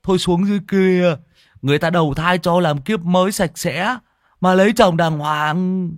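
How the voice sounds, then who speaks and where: A man doing a gruff commanding old sorcerer. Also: high voice, normal speed, no echo, studio quality, pitched above the performer's own voice, close to an everyday voice. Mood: scolding. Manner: brusque, commanding. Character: older man, gruff ghost-fighting sorcerer.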